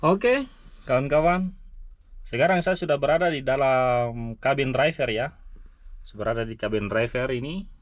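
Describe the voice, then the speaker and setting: A man's voice: medium pitch, moderate pace, no echo. A man presenting a vehicle walkaround vlog, explaining things enthusiastically and speaking directly to his viewers. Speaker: vlogger, mine vehicle driver. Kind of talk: vlog truck walkaround and review.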